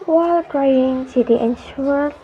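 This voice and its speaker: High, mellow young woman narrating. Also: slow pace, lo-fi sound, a little hiss or hum. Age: young adult. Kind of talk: scripted narration of a radio drama.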